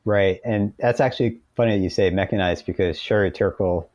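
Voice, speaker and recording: A man with a lowish, very mellow voice talks as a guest in an interview. He explains ideas casually and tells informal anecdotes. The recording is dry and close. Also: a little fast, dry recording, lo-fi sound. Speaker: podcast guest, author.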